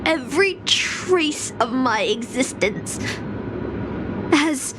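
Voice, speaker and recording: A woman playing a proud, bitter fallen singing film star in a video game, with slow theatrical delivery. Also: high-pitched, animated, background noise, exaggerated voice. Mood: cold resolve. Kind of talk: dramatic video game voice acting.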